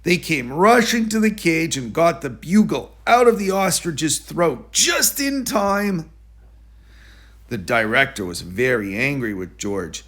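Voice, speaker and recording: Lively man reading a children's storybook. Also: a little high, takes it slowly. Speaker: storybook reader. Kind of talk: expressive children's story read-aloud.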